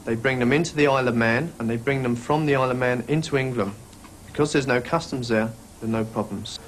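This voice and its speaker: A man's voice: mid-range voice, fast talker, noisy background. A man in the radio trade giving interview answers.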